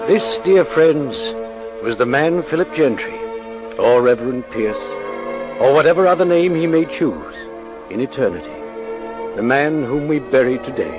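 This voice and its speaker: Male actor as solemn father eulogizing, measured oratory, 1940s radio drama, muffled recording, prominent music behind. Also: medium pitch, expressive, natural voice. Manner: measured, grave, preacherly oratory. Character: solemn, forgiving father delivering a funeral eulogy.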